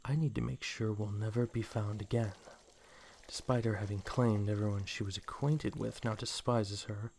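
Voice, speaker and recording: A man's voice: on the low side, creaky. A man voicing a possessive, seductive naga serpent hypnotist in a fan audio drama, coaxing his lover with a theatrical villain purr. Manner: slow, coaxing, theatrical villain purr, often near whispering.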